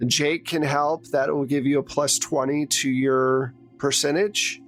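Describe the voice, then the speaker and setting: A man's voice: fairly high pitch, level tone, unhurried. A man running a tabletop roleplaying game, narrating in a conversational, improvised way. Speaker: game master.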